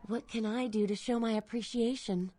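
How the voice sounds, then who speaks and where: A woman's voice: fairly high pitch, steady tone, normal speed, mellow, close to an everyday voice. A woman voicing a naive, devoted young female servant in a video game, eager to please and pleading.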